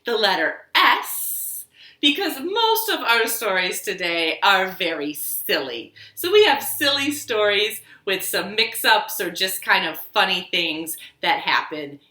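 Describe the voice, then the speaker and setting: A woman hosting storytime for young children, speaking in an expressive, encouraging sing-song way in a living room. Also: mid-range voice. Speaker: children's storytime librarian host. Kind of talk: children's storytime, talking and reading aloud to young kids. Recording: roomy home living room echo.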